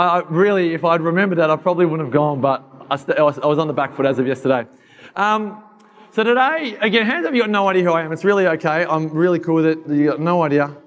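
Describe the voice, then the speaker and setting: A hoarse man in his thirties giving a fast, animated motivational talk to an audience. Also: on the high side. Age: 30s. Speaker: keynote speaker.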